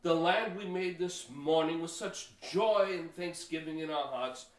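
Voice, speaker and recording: An actor playing an earnest, anxious Puritan elder in an audio play, speaking in formal, solemn, archaic phrases. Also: male voice, fairly high pitch, measured pace, close to an everyday voice. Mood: solemn, firm. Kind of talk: old-fashioned radio play acting. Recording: echoey, distant microphone, audio drama.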